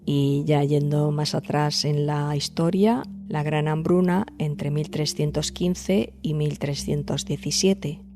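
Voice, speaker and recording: A woman hosting and narrating a scripted storytelling podcast, addressing listeners directly in measured phrasing. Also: sits low, fairly even intonation, mellow. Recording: music behind the voice. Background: background music.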